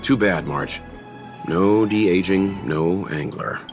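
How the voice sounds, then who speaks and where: A man playing a calm, logical young companion in a video game, with an understated, deadpan and matter-of-fact delivery. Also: deep voice, takes it slowly, faint music behind. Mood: dry, skeptical.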